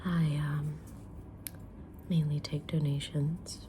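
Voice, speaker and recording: A woman whispering softly in an ASMR roleplay as a kind, mysterious witch reading tarot, with a gentle, soothing delivery. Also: low voice, flat delivery, noisy background. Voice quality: breathy unvoiced whisper. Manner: gentle, soothing, unhurried fortune-teller delivery. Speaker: ASMR creator playing a tarot reader.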